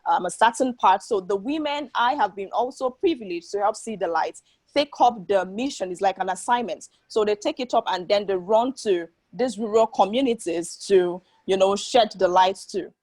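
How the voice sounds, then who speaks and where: A woman's voice: mid-range voice, normal speed, few pauses, a little mellow, no echo. A woman giving earnest, explanatory interview answers as the founder of an organization, often checking in with a questioning tag. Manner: often tags statements with a questioning "right?".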